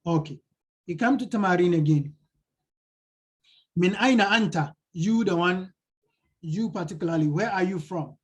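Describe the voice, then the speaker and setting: A man's voice: high-pitched, normal speed, long pauses, husky. A male teacher explaining a textbook lesson, translating it line by line and repeating key phrases. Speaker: Arabic teacher.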